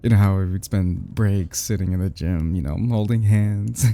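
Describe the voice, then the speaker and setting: A man playing an obsessive, lovesick kidnapper in an intimate audio roleplay, desperate and unstable, speaking haltingly with stammers and drops toward a whisper. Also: low voice, mellow, slight background noise. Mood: tearfully tender. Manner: halting, stammering, breathy nervous chuckles, often dropping to whispers.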